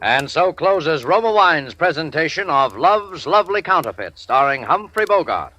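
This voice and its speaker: Brief: lively, high-ish bright male announcer, formal 1940s radio style. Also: moderate pace, soft background music.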